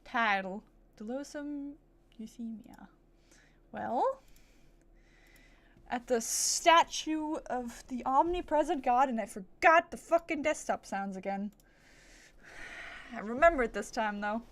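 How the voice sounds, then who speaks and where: A woman's voice: fairly high pitch, slow pace, pauses often, fairly bright tone. A woman livestreaming a game with casual, playful chatter and silly little asides. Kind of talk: casual gameplay stream chat.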